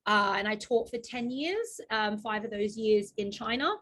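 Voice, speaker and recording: A woman in her thirties chats as an interview guest, her voice sitting at an ordinary middle pitch. She talks loosely and warmly, laughs easily, and often trips over and restarts her sentences. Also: moderate pace. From Australia. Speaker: guest astrologer. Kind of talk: casual interview chat.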